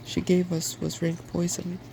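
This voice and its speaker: A man voicing a melancholy, uneasy hippogriff in a hushed, soft-spoken ASMR storybook reading. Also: high voice, monotone, unhurried, vocal fry, slight background noise. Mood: uneasy, gloomy. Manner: gloomy, hushed.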